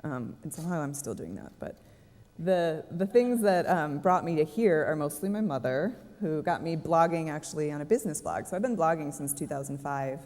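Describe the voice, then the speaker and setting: A woman's voice: low voice, animated, a little fast, darkish tone. A woman speaking on a conference panel, chatty and self-deprecating. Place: conference stage. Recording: reverberant conference hall.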